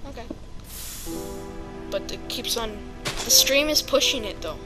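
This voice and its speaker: Chatty woman, fairly high voice. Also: lively intonation, unhurried. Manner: chatty and hesitant, many ums, playful sound words. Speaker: video game player. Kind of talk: casual let's-play commentary. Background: background music.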